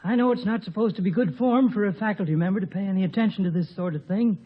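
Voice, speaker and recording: Husky, high-voiced old male professor. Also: fast talker. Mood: cheerful, frank. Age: 70s. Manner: folksy, blunt, chuckling. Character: seventy-year-old outspoken, cheerful, tobacco-chewing male professor. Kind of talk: 1950 radio comedy acting. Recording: muffled old radio recording.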